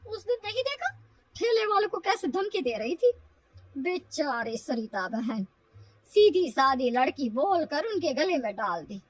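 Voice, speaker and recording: Outspoken cartoon woman female performer: high, animated, emphatic, slightly distant sound. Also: unhurried, no echo. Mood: gossiping, pitying. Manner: emphatic, opinionated. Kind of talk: animated cartoon story acting.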